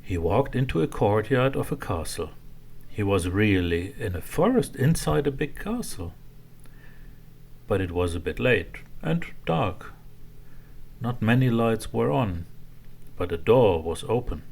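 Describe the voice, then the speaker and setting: A man narrating a children's bedtime story in a simple, expressive way for small children. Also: fairly low pitch, a little slow, gravelly, pauses often.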